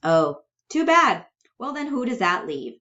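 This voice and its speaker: A woman's voice: a little high, sing-song, unhurried, pushed and bright, muffled recording, voice pushed up, full character voice. A woman voicing a suspicious, domineering adult brother with a blunt, sarcastic, questioning manner, in a dramatic play reading. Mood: sarcastic, scornful.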